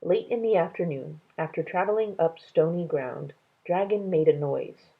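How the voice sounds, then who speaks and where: A woman reading a children's story aloud in an expressive, unhurried way, as if to young listeners. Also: on the low side, husky, phone-quality sound. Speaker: read-aloud reader.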